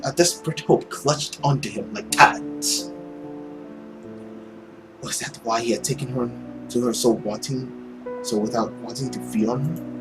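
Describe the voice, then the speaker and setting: A man narrates a visual novel with theatrical deliberation. His delivery is hushed yet lively in intonation, with music clearly playing behind him. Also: mid-range voice. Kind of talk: dramatic visual novel reading.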